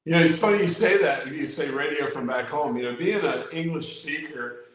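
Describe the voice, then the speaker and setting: A man's voice: on the high side, normal speed, fairly bright tone. A man, a guest who tells stories eagerly in casual chat with many "you know"s. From Virginia, USA. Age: 60s. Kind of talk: casual live-stream chat. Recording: very echoey room.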